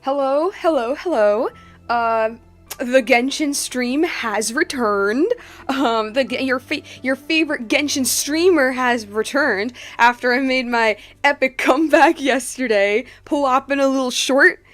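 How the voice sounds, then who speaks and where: A woman's voice: high voice, unhurried, fairly bright tone, soft background music. A woman hosting a game livestream in casual chat, rambling informally and circling back on her phrases. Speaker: game streamer, host.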